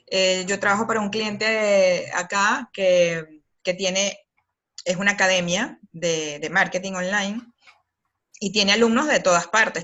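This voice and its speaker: Bright-voiced Venezuelan woman. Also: medium pitch, highs cut off. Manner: thoughtful, rambling with many fillers and restarts. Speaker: guest, copywriter. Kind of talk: conversational interview.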